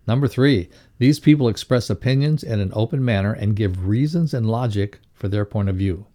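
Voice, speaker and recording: A man reading a motivational lesson aloud as a co-host, in a measured, instructive way. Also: on the low side, dark tone.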